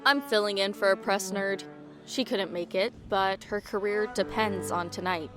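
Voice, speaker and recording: A woman's voice: medium pitch, moderate pace, music in the background, lightly acted. A woman playing a blunt, friendly young woman with a casual, teasing way of talking in an audio drama.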